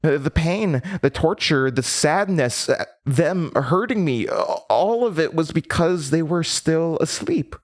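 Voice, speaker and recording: Man acting a slow, haunted horror storyteller. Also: a little high, expressive. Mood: pained, longing. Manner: halting, theatrical, with nervous laughter and drops into whispers. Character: disturbed, haunted storyteller recounting a horrifying childhood. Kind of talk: dramatic horror audio drama monologue. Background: prominent music.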